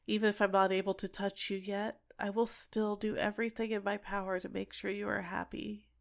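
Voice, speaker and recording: A woman's voice: mid-range voice, little pitch movement, brisk, gravelly, natural voice. A woman playing an obsessive, sentient dating-sim character in an ASMR roleplay, clingy and coaxing with menacing sweetness. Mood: possessive, menacing. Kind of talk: scripted ASMR roleplay monologue.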